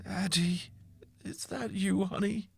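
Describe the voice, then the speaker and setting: A woman acts out a gentle father overcome with grief. The delivery is hushed, tearful and slow, with halting phrases and a dry, close sound, as in a dramatic audiobook. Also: medium pitch, gravelly, slightly rough, no echo, full character voice. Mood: tender, tearful. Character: grief-stricken, gentle father confessing to his daughter. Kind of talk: audiobook character acting.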